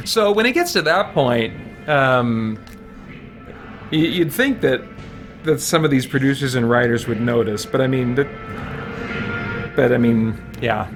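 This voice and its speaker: A man giving casual, halting gameplay commentary as a let's play host, thinking aloud as he goes. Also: mid-range voice, music in the background.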